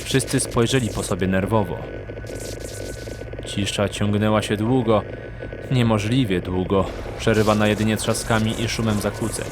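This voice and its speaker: A man narrating a fantasy audio drama in a measured, suspenseful storytelling manner. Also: on the low side, fairly even intonation. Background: music.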